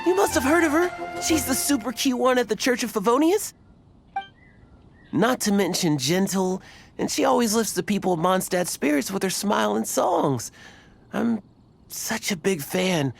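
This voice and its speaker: An excitable young male game character with a high voice that swoops wildly. He is pompous and lovestruck, stammering and gushing over music. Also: moderate pace, creaky. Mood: gushing, proud. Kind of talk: video game character acting. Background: background music.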